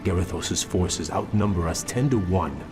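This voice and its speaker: An actor playing a proud, troubled young elf prince in a fantasy game, speaking formally and earnestly. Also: male voice, low-pitched, measured pace. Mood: wary gratitude. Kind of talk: fantasy game cutscene dialogue. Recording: dramatic music behind.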